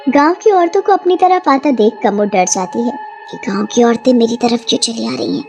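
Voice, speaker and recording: A woman narrates a scripted bedtime horror story. Her voice is high, and music plays faintly underneath. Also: lively intonation, a little fast, creaky, a little mellow.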